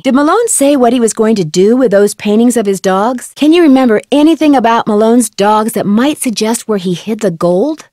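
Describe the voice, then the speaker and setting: A woman playing a friendly, curious young amateur sleuth in video game dialogue, speaking clearly, politely and inquisitively in a conversational way. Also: a little high, a little mellow, no echo, clean studio sound, lightly acted.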